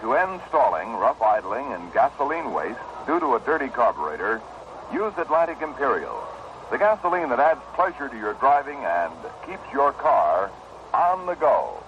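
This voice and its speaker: A man's voice: mid-range voice, big pitch swings, moderate pace. An American man reading a scripted 1960s radio advertisement in a persuasive, explanatory way. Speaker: commercial announcer. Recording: muffled, noisy vintage radio recording.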